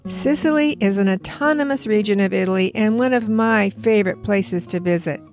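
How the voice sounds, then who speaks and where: Woman podcast host, mid-pitched, calm measured delivery. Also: music in the background. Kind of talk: scripted intro and interview questions.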